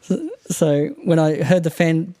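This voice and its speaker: Nasal australian man, dark tone. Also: fairly high pitch, fairly even intonation, measured pace, no echo. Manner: chatty, rambling, laughs often. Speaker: podcast producer guest. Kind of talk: casual technical chat. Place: home room treated with mattresses. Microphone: condenser studio mic.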